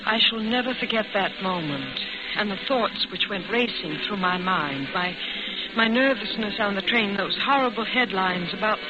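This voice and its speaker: An actress playing an anxious young woman passenger in a 1940s radio suspense drama, with dramatic, halting phrasing. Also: medium pitch, animated, very noisy, lightly acted. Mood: haunted, anxious. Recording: muffled vintage radio recording with music.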